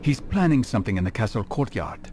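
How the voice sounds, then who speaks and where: A man playing a stern, measured, self-assured assassin in a video game. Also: fairly low pitch, very animated, dark tone, faint music behind. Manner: terse, measured, stoic.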